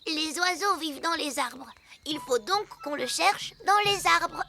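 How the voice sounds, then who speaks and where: A performer voicing a small, proud and helpful elf child in a children's cartoon, deliberate and self-assured. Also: sits high, expressive, gravelly, sharp tone, heavily acted. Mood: confident. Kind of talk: children's cartoon dub acting.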